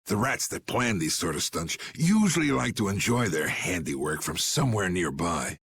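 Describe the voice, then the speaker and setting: A gruff, commanding old guild chief from a video game. The voice is low and gravelly, swooping widely as he lays down the law in blunt, folksy words. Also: sing-song, moderate pace, a bit bright, a little hiss or hum, heavily acted. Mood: gruff, wry. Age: older. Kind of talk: dramatic video game voice acting.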